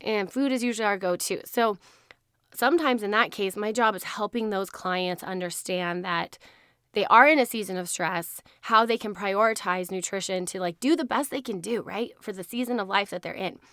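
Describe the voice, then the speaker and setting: Hoarse woman chatting on her podcast. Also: mid-range voice, moderate pace, fairly bright tone, dry recording, clean studio sound. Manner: laughs often, chatty and upbeat. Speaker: podcast host. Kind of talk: casual chat.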